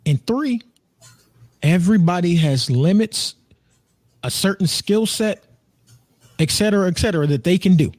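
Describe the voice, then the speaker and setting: A man's voice: sits high, animated, normal speed, pauses often, darkish tone, dry recording. An American man in his thirties chatting casually as a co-host, joking, repeating himself and swearing freely. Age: 30s. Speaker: podcast co-host and streamer. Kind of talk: casual group chat.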